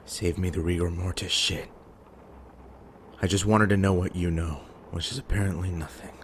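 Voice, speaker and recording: A man playing a gruff, guarded survivor in an audio drama, blunt and terse. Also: low-pitched, steady tone, moderate pace, slight background noise, natural voice. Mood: irritated. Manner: blunt, terse, foul-mouthed.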